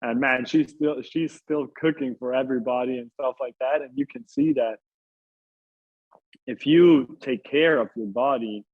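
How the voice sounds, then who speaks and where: A man's voice: mid-range voice, unhurried. A man from Italy chatting casually as an interview guest, hesitant and repeating his phrases, with thin phone-like sound. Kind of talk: casual chat.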